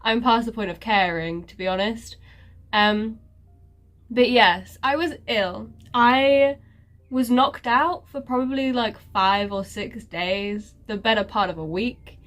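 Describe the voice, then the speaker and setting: Woman vlogger, slow and congested, sarcastic and rambling in a casual update. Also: on the high side, fairly bright tone. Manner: sarcastic, self-mocking, rambling with many fillers. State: congested, stuffy nose. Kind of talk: casual life-update chat.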